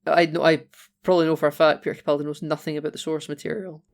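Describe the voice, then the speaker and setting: A man's voice: on the high side, little pitch movement, very fast, gravelly, a little hiss or hum. A man with a Scottish accent, co-hosting a casual film podcast in a playful, chatty way with frequent restarts. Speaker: podcast co-host. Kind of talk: casual film-review chat.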